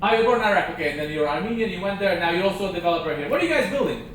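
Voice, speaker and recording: A man doing stand-up crowd work in a comedy club, teasing audience members with quick banter and a constant "okay?". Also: sits high, lively intonation, heavy reverb, distant mic, rough recording. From Romania.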